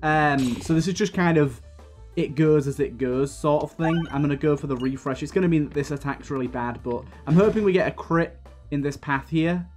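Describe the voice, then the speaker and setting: A man with a slightly raspy voice from a coming cold, chatting casually as he plays and comments on a video game, thinking aloud with plenty of fillers. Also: fairly high pitch, a little fast, darkish tone. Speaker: gaming video host. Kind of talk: casual let's-play commentary. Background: faint game music.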